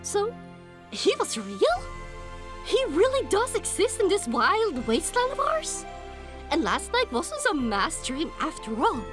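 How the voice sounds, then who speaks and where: A woman narrating a dramatic first-person story in an expressive, emotive way, as an audiobook narrator. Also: extremely high pitch, measured pace. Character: young pony heroine, emotional and earnest. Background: music under the voice.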